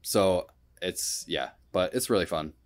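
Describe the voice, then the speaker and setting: A man's voice: deep voice, unhurried. A young man chatting casually about video games as a podcast host, in a rambling way full of hedges.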